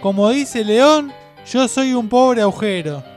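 A man's voice: very high-pitched, lively intonation, unhurried, soft background music. A man with a Rioplatense Argentine accent hosting a radio show in a casual, chatty style. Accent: Rioplatense Argentine Spanish.

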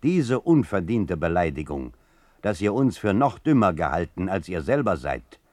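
An actor playing a solemn, indignant reader of a political manifesto, declaiming with measured rhetorical emphasis in a radio play. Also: male voice, sits low, big pitch swings, darkish tone, no room sound.

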